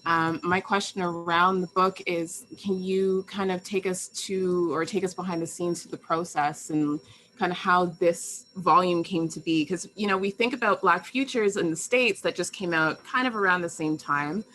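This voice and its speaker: Low-voiced, effusive woman host. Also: steady tone, moderate pace, a bit bright, slight background noise, low-quality recording. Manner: effusive and complimentary, with "um" fillers. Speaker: host and interviewer. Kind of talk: live-streamed interview.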